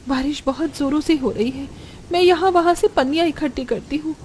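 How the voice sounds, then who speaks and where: A woman's voice: high-pitched, fairly quick, a little mellow, a little hiss or hum, natural voice. A woman playing an emotional, devoted woman of a poor village in a cartoon moral story, with a plaintive manner. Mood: sad, resolute. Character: distressed, devoted women of a poor flood-hit village.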